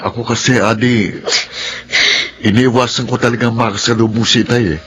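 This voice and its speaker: An actor playing a steady, dutiful young man in a radio drama, speaking calmly and offering advice. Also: mid-range voice, normal speed, close to an everyday voice. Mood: earnest. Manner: calm, advising. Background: faint music.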